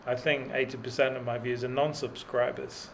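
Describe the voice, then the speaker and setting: Gravelly, self-mocking middle-aged man. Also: medium pitch, flat delivery, normal speed, slight background noise. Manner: dry self-deprecating humour, many fillers. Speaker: cycling vlogger. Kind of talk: rambling self-deprecating commentary while riding. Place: outdoors on a mountain road.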